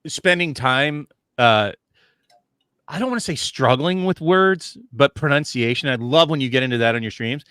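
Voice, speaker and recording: A man's voice: mid-range voice, moderate pace, a bit bright, clear, resonant tone, no echo, clean studio sound. A man co-hosting a podcast, enthusiastic and often repeating words as he starts a sentence. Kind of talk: casual podcast chat.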